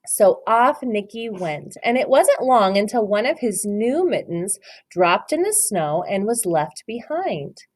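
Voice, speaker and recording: A woman reads a picture book to young children in a very lively, sing-song voice that swoops up and down. Her pitch sits in a middling range. She keeps stopping to ask encouraging questions about the pictures. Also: a little slow. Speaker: teacher reading aloud. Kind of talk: children's read-aloud.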